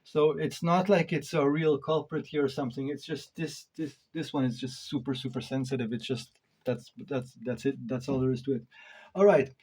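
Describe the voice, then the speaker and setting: A man's voice: mid-range voice, steady tone, normal speed, a little mellow, slightly rough. A man giving a casual hands-on gear review, thinking aloud in an informal way with emphatic stresses. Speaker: reviewer of modular synth gear.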